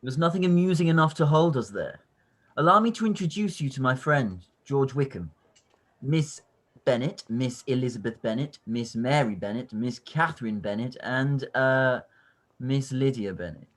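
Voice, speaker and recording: A man's voice: medium pitch, moderate pace, close to an everyday voice. A man playing a jovial young army officer in a period radio play, polite and teasing. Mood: courteous.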